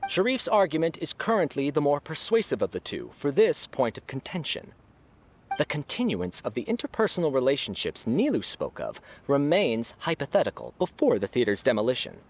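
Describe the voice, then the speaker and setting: A man's voice: on the high side, wildly swooping pitch, fairly quick. A man playing an impartial scholarly adjudicator in a video game, delivering analytical rulings in an official manner. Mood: judicial. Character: impartial, formal male debate adjudicator and scholar. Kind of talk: video game cutscene acting.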